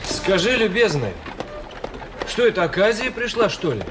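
An actor playing a cultured gentleman traveler, giving measured, literary narration and dialogue in a radio play. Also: sits high, very animated, very noisy. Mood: curious. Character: cultured young gentleman officer, traveling writer telling his story.

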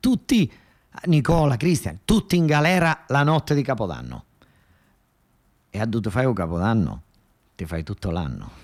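Highly animated southern Italian male storyteller. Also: normal speed, pauses often. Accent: southern Italian, Neapolitan-flavoured. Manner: dramatic, earthy, repetitive emphasis. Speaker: actor-storyteller. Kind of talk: theatrical monologue narration with dialect.

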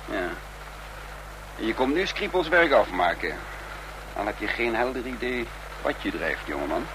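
Shrewd bossy secret operative in a radio drama, lowish voice, dry and controlled, bit of old-tape hiss. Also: male voice, very animated, moderate pace. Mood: wry, tense. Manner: sardonic, controlled, formal literary wording. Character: shrewd commanding man, a cool seasoned operative who narrates. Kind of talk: radio drama acting and narration. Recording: noisy, humming old radio drama recording.

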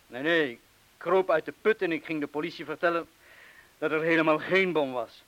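A man's voice: a little high, fairly quick, fairly bright tone, close to an everyday voice, poor audio. Man playing self-doubting bomb man, hissy recording. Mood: bitter confession. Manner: colloquial, bitter self-deprecation. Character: self-doubting wartime bomb disposal man, confessing past cowardice. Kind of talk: Dutch radio play acting. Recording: hissy old radio play recording.

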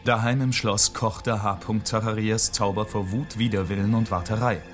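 A man's voice: on the low side, fairly even intonation, normal speed, lightly acted. A man narrating a fairy tale for a radio drama in a scripted storytelling style. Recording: music behind the voice.

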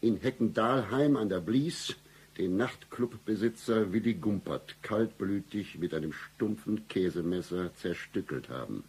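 An actor playing a cynical, deadpan hard-boiled private detective in a satirical noir radio play, with a husky voice. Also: male voice, unhurried, full character voice. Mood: accusing, sardonic. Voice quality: very deep, husky, gravelly. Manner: deadpan, accusing, melodramatic.

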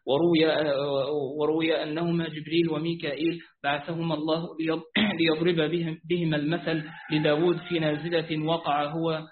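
A man's voice: on the high side, slight background noise. A man reading a classical commentary aloud carefully, with restarts, over a phone on a weak network from a rooftop. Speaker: student reading the text aloud. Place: rooftop outdoors. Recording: tinny phone-like line.

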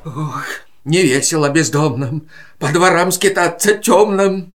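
A man playing a humble, weary, homeless tomcat servant with halting, theatrical delivery in a children's verse play. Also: a little high, fairly even intonation, soft background music, close to an everyday voice. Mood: mournful.

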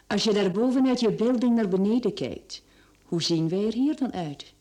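An actress with a Flemish accent playing a teasing, flirtatious middle-aged mistress in a radio drama, coaxing and sly. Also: female voice, mid-range voice, expressive, moderate pace, mellow, close to an everyday voice. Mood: wistful, coaxing.

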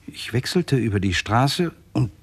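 Husky, wry gentleman storyteller. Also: medium pitch, animated, a little slow. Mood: wry, unhurried. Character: Mr. Dickens, genial, curious gentleman narrator who likes night walks. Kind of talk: 1960s German crime radio play.

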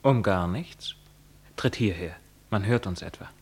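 A man's voice: low-pitched, expressive, measured pace, slightly rough, exaggerated voice. A man playing a young lover who answers coolly and sarcastically in verse. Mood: mocking. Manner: sarcastic, dismissive verse speaking. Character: young male lover, cool, mocking.

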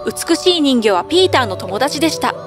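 A woman's voice: high voice, sing-song, brisk, over music. A woman narrating a scripted children's fairy tale as a storyteller.

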